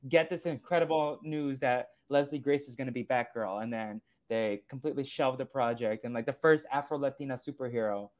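A man's voice: mid-range voice, a little fast, hardly any pauses, darkish tone. A man hosting a podcast interview, talking casually and eagerly with many filler words. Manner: casual, frequent "like" fillers, enthusiastic. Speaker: podcast host.

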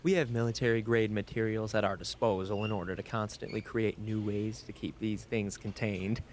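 A man's voice: on the low side, fairly even intonation, normal speed, slight background noise, lightly acted. A man playing a cocky, teasing seasoned scientist in an audio drama, talking in a casual, slangy way. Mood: teasing, relaxed.

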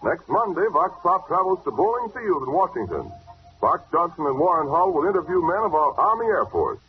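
A man's voice: sing-song, a little fast, dull audio. An American man announcing in an emphatic 1940s radio commercial style, on a noisy vintage recording. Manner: emphatic sales pitch.

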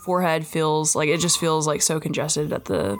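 Congested young woman, low voice. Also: steady tone, normal speed. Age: young adult. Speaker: podcast co-host. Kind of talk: casual chat.

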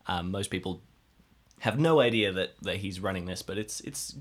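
A man's voice: low voice, expressive, normal speed. A man chatting casually and playfully as a cast member of an improvised drama podcast. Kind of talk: casual chat introducing a character.

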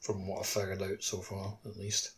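A man's voice: low voice, monotone, moderate pace. A man chatting casually as co-host of a gaming podcast.

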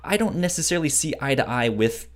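Animated, halting man chatting casually. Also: medium pitch. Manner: animated, acts out imagined dialogue; halting, stammering delivery with repeated words. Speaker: podcast co-host. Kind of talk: casual book discussion chat.

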